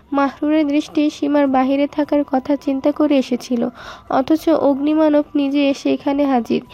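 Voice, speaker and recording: High-pitched woman narrating a story. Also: warm tone.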